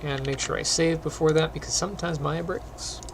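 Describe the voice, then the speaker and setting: A middle-aged man talks through his animation work at a desk mic, slightly higher voice with a gravelly, phlegmy edge. He rambles in a relaxed way, full of ums, and keeps clearing his throat. A faint hiss sits under the recording. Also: normal speed. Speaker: animation teacher. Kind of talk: casual screen-recorded tutorial chat.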